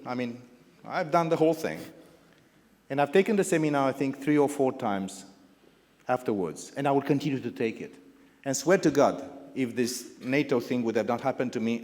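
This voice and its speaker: A middle-aged man with a Romanian accent gives a halting welcome speech as founding president, restarting his phrases and piling up lists of words. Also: mid-range voice, darkish tone. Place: large indoor gala hall. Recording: reverberant banquet hall over a PA.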